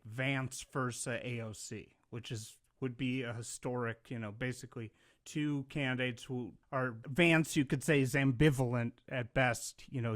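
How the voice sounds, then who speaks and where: A man hosting a podcast interview, talking in an animated, rambling way with casual swearing. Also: mid-range voice. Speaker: podcast host.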